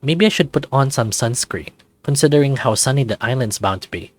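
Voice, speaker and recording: A man's voice: medium pitch, lively intonation, brisk, dry recording. Man narrating a visual novel, dry close sound. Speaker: player reading a visual novel aloud. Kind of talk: scripted narration of a visual novel with casual asides.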